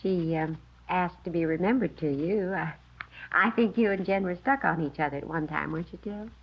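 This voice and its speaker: A woman acting a middle-aged American neighbor in old radio drama, low-pitched and creaky. She is polite, chatty and gossipy. The recording sounds somewhat muffled. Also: fairly quick, slight background noise, natural voice. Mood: hinting.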